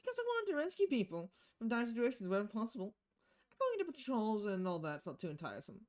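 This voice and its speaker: A woman's voice: on the high side, huge pitch swings, fast talker, darkish tone. Lady doing a friendly knight gal from a game, earnest n chatty, modest, bouncy intonation. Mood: matter-of-fact.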